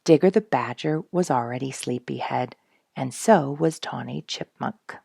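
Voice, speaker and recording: Female storybook reader, low and husky, expressive delivery, dry recording. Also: unhurried, dry recording. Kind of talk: scripted children's story narration.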